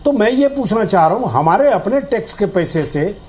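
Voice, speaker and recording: Man arguing on a political panel, high voice, indignant, rhetorical questions. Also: big pitch swings, normal speed, a little mellow. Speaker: party spokesman on a debate panel. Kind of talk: argumentative political debate.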